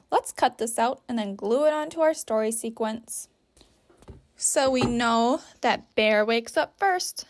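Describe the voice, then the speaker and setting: Slow-paced, high-voiced woman teaching young children. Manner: patient, simple sentences with guiding questions and pauses. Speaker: teacher leading a children's story activity. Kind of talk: instructional read-aloud for young children, step-by-step.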